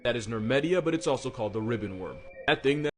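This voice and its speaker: A man's voice: medium pitch, animated, fast. A man delivering scripted comedic narration for an animal facts video, with a wry, slangy and irreverent manner. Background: music.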